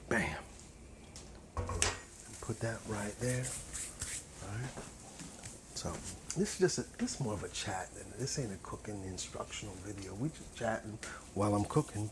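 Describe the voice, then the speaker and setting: A man's voice: on the low side, background noise. A man chatting casually in an ASMR cook-along style as he cooks, playfully repeating and drawing out his words. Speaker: host chatting while cooking. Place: home kitchen, indoors.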